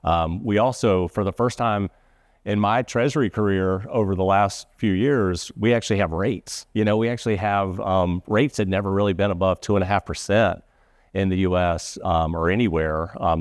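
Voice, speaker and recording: A man speaking informally as a guest professional, halting and rephrasing as he goes. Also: low voice, fairly even intonation, dry recording, clean studio sound. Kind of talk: informal first-hand account.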